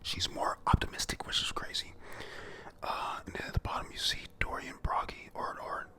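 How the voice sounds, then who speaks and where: A person whispering an informal, halting recap of a comic chapter for viewers, breathy and prone to casual swearing. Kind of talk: whispered read-through and recap of a manga chapter.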